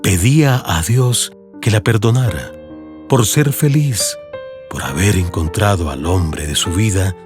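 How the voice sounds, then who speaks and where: A man narrating a literary audio story in a solemn, measured, dramatic way. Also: lowish voice, lively intonation. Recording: music behind the voice. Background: music.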